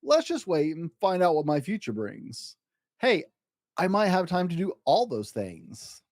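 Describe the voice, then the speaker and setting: A man's voice: high voice, a little slow, no echo, clean studio sound, exaggerated voice. A man voicing an exuberant, boastful eight-year-old schoolboy in a theatrical, rhyming picture-book story. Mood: earnest, then excited. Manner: excitable, grandiose, theatrical.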